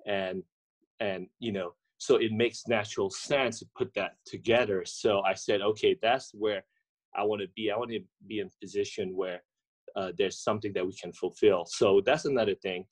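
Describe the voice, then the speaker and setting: A man in his forties answers interview questions over a video call. His voice sits a little low, and he talks in a halting way, with lots of fillers and restarts. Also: fairly even intonation, low-quality recording. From Indonesia. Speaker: guest, coffee entrepreneur. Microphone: webcam or laptop mic on video call.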